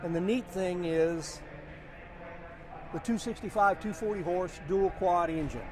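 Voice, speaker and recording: A middle-aged or older man giving casual expert commentary as a guest, halting and deliberate, repeating words for emphasis. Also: high-pitched, over music. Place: outdoors at a car show or auction.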